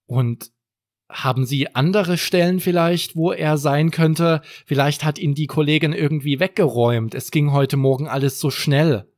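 A man's voice: a little high, fairly even intonation, normal speed, a little mellow, pitched above the performer's own voice, lightly acted. A man voicing a caring, busy mother in a children's story, polite and reassuring. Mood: insistent.

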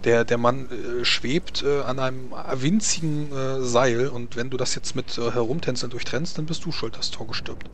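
A man running a tabletop role-playing game as game master, narrating combat and ruling, with halting pauses. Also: medium pitch, fairly bright tone, slight background noise. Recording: music behind the voice. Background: background music.

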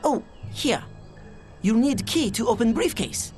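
Earnest Japanese doctor for a game: very high, animated pitch, formal clipped speech. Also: male voice, unhurried, soft background music. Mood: earnest, regretful. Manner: formal, clipped grammar without articles. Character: earnest, lovelorn male Japanese scientist. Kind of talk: video game cutscene acting.

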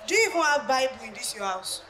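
A woman's voice: high-pitched, sing-song, fast, a crowd in the background. A woman with a Nigerian Yoruba accent playing a bossy mother-in-law who lectures the couple in a live stage play. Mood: scolding lecture. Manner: scolding, lecturing, mixing Yoruba. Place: church hall stage. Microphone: handheld mic. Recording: echoey hall, noisy.

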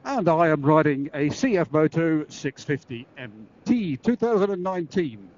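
A dull helmet intercom recording of a man out riding. His voice sits a little high, and he chats in a casual way as one motorcycle reviewer to another. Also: big pitch swings, normal speed, darkish tone. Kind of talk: casual chat while riding. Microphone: helmet intercom mic.

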